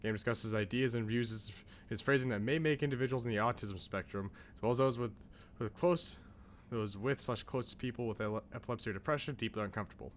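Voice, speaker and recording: A man with a medium-pitched voice hosts a video game livestream. He talks casually to his viewers, rambles into asides and reads text off the screen. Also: quick pace, a little hiss or hum. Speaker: streamer, video game host.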